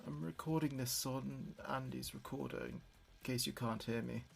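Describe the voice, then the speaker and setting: A grieving man weighed down by guilt in an audio drama. He speaks in halting, emotional phrases. He sounds distraught and pleading, as if trying to reach a dead parent through a tape recorder. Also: medium pitch, sing-song, gravelly. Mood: hesitant, pleading.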